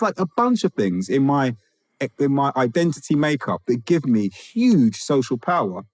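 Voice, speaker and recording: A man's voice: medium pitch, a little mellow, husky, no room sound. A man from England speaks as a podcast guest, thoughtful and searching, circling back to rephrase before landing his point. His voice sits in a middle register. The sound is close and dry, with no room around it. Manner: reflective, with restarts and rising emphasis. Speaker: guest, author and teacher. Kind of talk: conversational interview.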